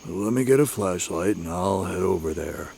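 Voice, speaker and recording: A man playing a calm, protective neighbor husband in a radio drama, steady and firm. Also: sits low, moderate pace, creaky. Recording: faint background night noise.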